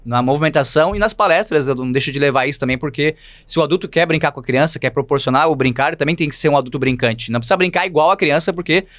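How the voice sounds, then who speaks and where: Fast-talking playful man from Santa Catarina. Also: on the high side, fairly bright tone, no room sound. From Santa Catarina, Brazil. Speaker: guest, physical education teacher. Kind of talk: podcast interview. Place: studio.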